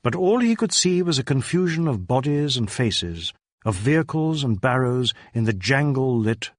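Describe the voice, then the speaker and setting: A male audiobook narrator with a medium-pitched voice reads crime fiction in a measured, suspenseful way. The sound is dry, with very little room in it. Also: dry recording. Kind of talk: scripted narration of a crime novel.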